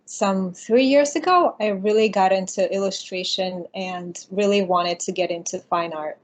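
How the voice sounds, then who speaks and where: A woman artist guest with a slight Latvian accent, giving brief, soft-spoken answers in a casual interview. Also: medium pitch, normal speed. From born in Latvia, raised in New York.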